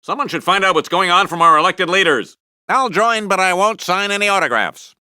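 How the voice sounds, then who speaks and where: An actor playing a smug, vain middle-aged American TV news anchor in a cartoon game, with a pompous mock-serious broadcast delivery. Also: male voice, high-pitched, brisk, pushed and bright, clean tone, dry recording, studio quality. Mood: vain, breezy. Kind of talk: cartoon game newscast commentary.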